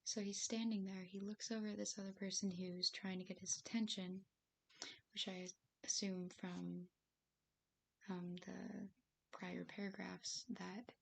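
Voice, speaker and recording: A woman with a raspy voice streaming as she writes, thinking aloud hesitantly with many fillers and soft laughs. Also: mid-range voice. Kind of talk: casual live-stream musing while writing. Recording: faint hiss behind the voice.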